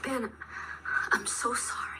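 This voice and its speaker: A woman's voice: slow, in a whisper, close to an everyday voice, poor audio. An actress playing an emotional, defiant young small-town woman in love, in a radio drama. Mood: worried. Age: young adult.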